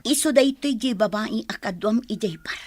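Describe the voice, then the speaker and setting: A woman's voice: a little high, expressive, normal speed, a little mellow, no echo. A woman acting as a hurt, suspicious girlfriend in an Ilocano radio drama, accusing and insistent. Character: hurt, suspicious girlfriend confronting her unfaithful boyfriend.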